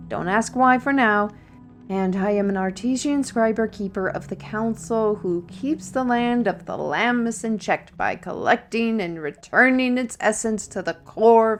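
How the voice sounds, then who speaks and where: A woman playing a wry, weary fantasy artist-steward, talking directly to the listener in a scripted audio roleplay. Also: mid-range voice. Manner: wry, sighing, conversational, addressing the listener.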